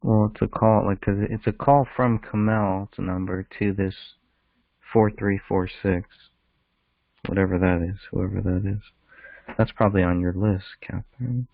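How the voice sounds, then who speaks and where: A man's voice: low-pitched, steady tone, unhurried, vocal fry, dry recording. A man hosting a casual discussion, reading through records and thinking aloud in short, terse phrases. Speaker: podcast host. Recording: thin phone-like call audio.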